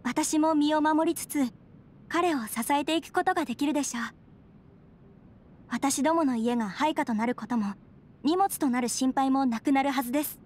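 A woman's voice: sits high, moderate pace, darkish tone, lightly acted. An actress playing an earnest, dignified young noblewoman in an anime game, speaking politely and formally. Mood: resolute. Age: young adult. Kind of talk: Japanese anime game voice acting.

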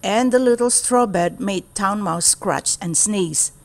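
A woman storyteller reading a children's storybook aloud in a deliberate, expressive way. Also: mid-range voice, vocal fry.

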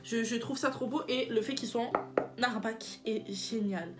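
A woman's voice: a little high, unhurried, background noise. A young woman with a stuffy, cold-congested nose, chatting casually and enthusiastically about books she read, often searching for words and repeating herself. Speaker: book vlogger. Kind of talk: casual book review vlog.

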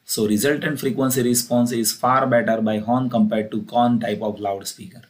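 A man's voice: medium pitch, steady tone, moderate pace. A man with an Indian accent giving a plain, methodical technical lecture, repeating key phrases as he explains. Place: voice-over for a screen recording.

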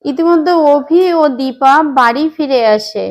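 A woman's voice: sits high, measured pace. A woman narrator reading a scripted story for children.

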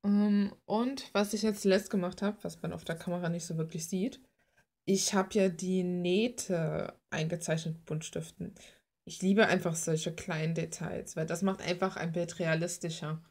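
A woman's voice: fairly low pitch, steady tone, normal speed, a little mellow. A young adult woman rambling casually in an informal, playful, slangy way, like someone showing her hobby on a vlog. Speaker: hobby artist and video maker.

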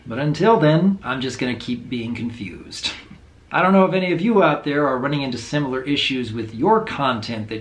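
A man in his thirties with a low voice, talking earnestly to camera in a home video, thoughtful and openly unsure. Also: roomy sound. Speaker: video creator. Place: small room indoors at home.

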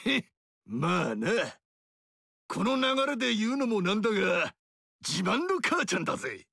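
A gravelly, blustering barbarian king. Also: high-pitched, wildly swooping pitch, measured pace, bright, forceful tone, no room sound, clean studio sound, heavily acted. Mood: boastful. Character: boisterous burly male barbarian king, proud. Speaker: warlord character. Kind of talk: video game character dialogue.

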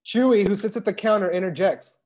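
Woman reading stage directions, mid-pitched. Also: a little fast, darkish tone. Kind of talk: scripted narration at a comedy table read. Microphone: video call.

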